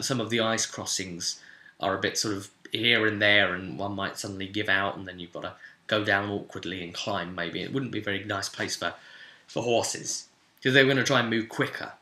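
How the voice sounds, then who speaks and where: Male game master, very bright forceful voice, chatty rambling storyteller, roomy echoing recording. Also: fairly low pitch. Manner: rambling, conversational asides. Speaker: game master recapping a tabletop role-playing session. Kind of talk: casual spoken story recap, vlog.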